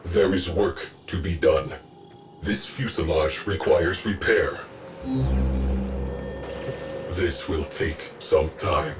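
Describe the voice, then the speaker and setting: Slow, deep, solemn old machine mind. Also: male voice, music in the background. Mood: resolute. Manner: grave, slow, deliberate. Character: weary old machine mind, male, proud war veteran, solemn and mournful. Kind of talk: scripted game character dialogue.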